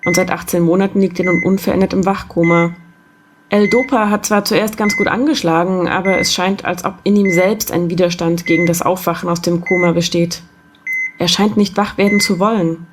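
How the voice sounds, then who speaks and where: A woman acting an earnest, lowish-voiced doctor. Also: steady tone, moderate pace, close to an everyday voice. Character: earnest, caring female hospital doctor. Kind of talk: German audio drama acting. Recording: beeping hospital machines, clear noise.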